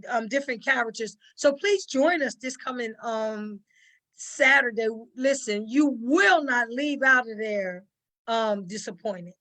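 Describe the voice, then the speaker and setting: A woman's voice: a little high, fairly bright tone, poor audio. A woman chatting casually as a guest on a video call, emphatic and repeating her phrases. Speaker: cast member, guest. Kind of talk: casual chat.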